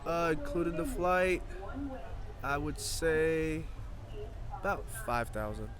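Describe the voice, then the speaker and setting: A man's voice: fairly high pitch, expressive, slow, a crowd in the background. A man giving casual, slightly hesitant answers as an interviewee outdoors, thinking aloud as he talks. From born in Florida, of Jamaican background. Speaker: interviewee, English teacher. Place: outdoors at a snow festival.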